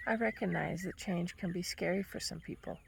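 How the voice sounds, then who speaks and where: Woman, low gravelly voice, reflective solo talk, recorded outside with a faint hiss. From Argentina. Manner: thoughtful, unhurried, reflective. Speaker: podcast host. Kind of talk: reflective personal monologue. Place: outdoors on a bench.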